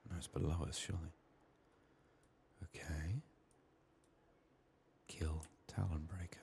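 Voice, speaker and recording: A very low-voiced man gives soft-spoken ASMR game commentary, his voice crackling with fry and a little strained from being sick. He murmurs to himself in an unhurried way, with noticeable noise behind him. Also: steady tone. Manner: hushed, unhurried musing to himself. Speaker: video game streamer, ASMR host.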